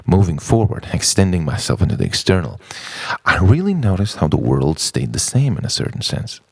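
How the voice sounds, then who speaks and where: A man with an extremely low, creaky voice performs an introspective monologue. He moves slowly through short, halting phrases, with dramatic swings in pitch. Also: sing-song, no echo. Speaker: narrator of own written reflection. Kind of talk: introspective spoken-word monologue.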